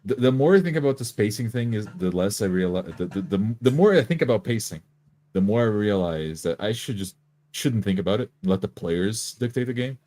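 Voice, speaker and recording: A man in casual discussion as a game player, repeating words haltingly as he forms his points. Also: lowish voice, animated, mellow. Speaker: tabletop role-playing player.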